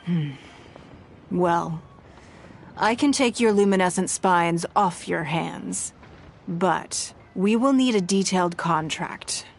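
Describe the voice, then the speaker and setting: A woman playing a composed, shrewd and stern wealthy noblewoman in a video game, speaking in a measured, formal, authoritative way. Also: on the low side, creaky, exaggerated voice. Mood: businesslike.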